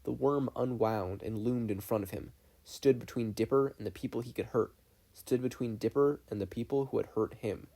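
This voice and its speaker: Casting: audiobook-style narrator for fan fiction, medium-low androgynous pitch, dark mellow tone. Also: normal speed. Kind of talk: scripted story narration.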